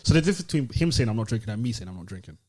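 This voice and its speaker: A man's voice: fairly low pitch, big pitch swings, rapid-fire, mellow, husky. A British man co-hosting a podcast, chatting casually in slang with blunt, opinionated delivery. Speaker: podcast co-host.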